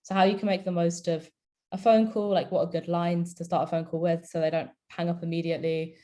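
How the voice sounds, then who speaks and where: A woman's voice: on the low side, flat delivery, a little fast, dark tone. A woman campaigner with a cold, talking casually on an online rally video call, with many fillers and restarts. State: has a cold.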